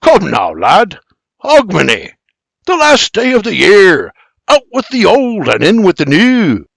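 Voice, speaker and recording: A man's voice: mid-range voice, big pitch swings, takes it slowly, dry recording, clean studio sound, full character voice. A man voicing a stubborn, cheerful old Scottish man in a Scottish accent who lectures with plenty of Scots dialect. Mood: insistent. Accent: Scottish, as played.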